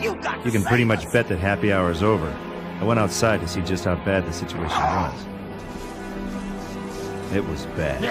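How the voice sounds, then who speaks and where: A man playing a cynical, wisecracking monster fighter in a video game, sardonic and cocky. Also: deep voice. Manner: sarcastic, cocky, deadpan. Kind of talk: sardonic action game dialogue and narration. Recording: music behind the voice.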